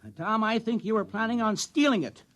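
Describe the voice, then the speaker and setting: An actor playing an earnest, slow-witted young town policeman in a 1950s radio drama, talking in an officious, fussy way. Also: sits high, a bit bright.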